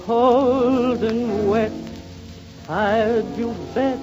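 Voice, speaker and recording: Female singer, very slow plaintive ballad delivery, 1930s broadcast sound over a band. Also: a little high, steady tone, over loud music. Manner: plaintive, drawn-out singing. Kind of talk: slow torch song performance. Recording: muffled 1930s radio broadcast. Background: orchestra accompaniment.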